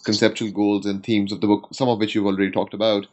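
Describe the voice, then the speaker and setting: Halting, lower-voiced man hosting an interview. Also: little pitch movement, muffled recording. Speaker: podcast host interviewer. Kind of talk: formal scholarly interview.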